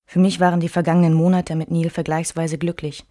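A woman playing a wistful memoirist who recalls her marriage in measured radio drama narration. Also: lowish voice, little pitch movement, dark tone, close to an everyday voice. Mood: reflective.

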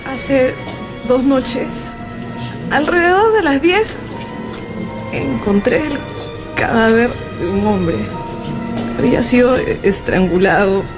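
An actress playing a shaken witness who testifies in a halting, solemn, emphatic way in a radio drama. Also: female voice, on the high side, full character voice.

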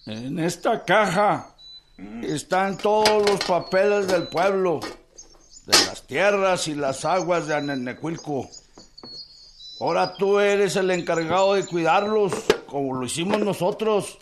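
An actor playing a solemn old Mexican village elder in a radio drama, speaking deliberately. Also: male voice, high voice, background noise. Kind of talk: Spanish-language radio drama acting.

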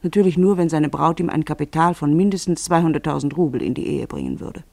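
A narrator reading a literary story for a radio play in a measured, wry, precise manner. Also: mid-range voice, animated, vocal fry, mellow, no room sound.

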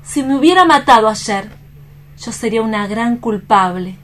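A woman's voice: fairly high pitch, lively intonation, normal speed, lightly acted. An actress playing a distraught but resolute young woman in a radio drama, speaking earnestly and solemnly. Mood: grave, reproachful. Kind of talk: dramatic staged radio reading.

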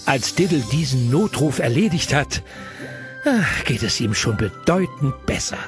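A man's voice: mid-range voice, sing-song, unhurried, loud music behind the voice. A man narrating a children's audio play as a playful storyteller who relishes long, silly words.

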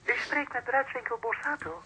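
An actress playing a brisk, courteous bridal shop saleswoman heard over the telephone in a radio comedy. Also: high-pitched, steady tone, bright, forceful tone. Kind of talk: radio comedy drama acting. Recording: noisy, echoey phone line.

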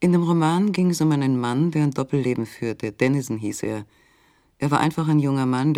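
An actor narrating a literary radio play in a measured, matter-of-fact manner. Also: male voice, medium pitch, gravelly, a little mellow, no room sound, studio quality, natural voice.

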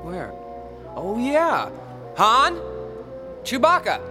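An actor playing an earnest, eager young pilot in a radio drama. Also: male voice, very high voice, wildly swooping pitch, extremely slow, full character voice. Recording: radio drama over orchestral music.

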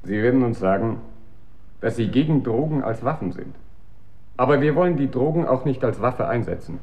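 Actor as quietly menacing military official, male. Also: mid-range voice, animated, normal speed, muffled recording, slight background noise, lightly acted. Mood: businesslike, persuasive. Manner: businesslike, insinuating. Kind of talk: German radio drama acting.

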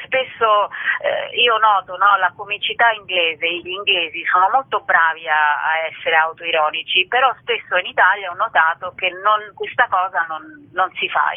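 A woman's voice: fairly low pitch, no room sound. A woman being interviewed as an actress, talking casually over a narrow telephone line. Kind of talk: casual radio phone interview. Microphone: phone.

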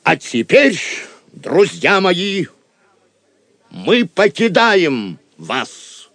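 Audio drama king: steadfast, noble, stately, slow delivery in a dry close recording. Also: sits high, huge pitch swings, a bit bright, no room sound, full character voice. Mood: rousing farewell. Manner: stately, commanding. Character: Richard the Lionheart, hidden king, noble and steadfast. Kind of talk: Russian audio drama acting.

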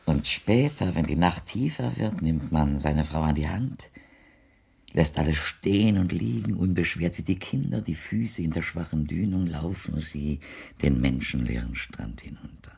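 An actor playing a courteous, wistful blind widower in a German radio play, speaking in florid, halting, ceremonious phrases. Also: male voice, rumbling low, expressive, vocal fry, darkish tone, husky, full character voice. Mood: wistful, rapturous. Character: blind widowed older man, courteous, wistful, insinuating visitor.

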